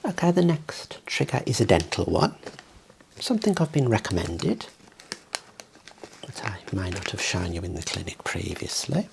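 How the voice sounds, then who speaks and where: A soft, breathy whisper in an ASMR medical role play, gently and politely explaining and instructing step by step as a clinician. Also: sits low, very animated, normal speed, long pauses, slight background noise, low-quality recording. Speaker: ASMR performer playing a clinician.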